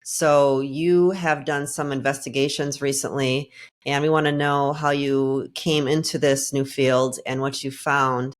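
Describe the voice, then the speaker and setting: A woman's voice: a bass voice, flat delivery, a little slow. A woman hosting a podcast interview, introducing her guest in a loose, rambling way full of restarts and fillers. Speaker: podcast host.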